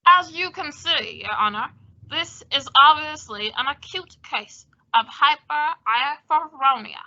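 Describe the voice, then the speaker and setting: A condescending, scheming doctor acted by a woman in an old-time radio comedy. The voice is high and extremely bright, with a pompous, patronizing air. The recording is slightly dull. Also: lively intonation, takes it slowly, heavily acted. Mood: smoothly assured. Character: smooth, scheming phony doctor, condescending and slippery.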